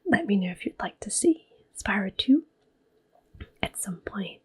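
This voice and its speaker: Slow, soft whispering woman, ASMR gamer. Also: mid-range voice, animated. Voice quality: soft, breathy, often whispering. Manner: gentle, unhurried, hushed. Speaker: gaming video host. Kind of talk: soft-spoken ASMR gameplay commentary.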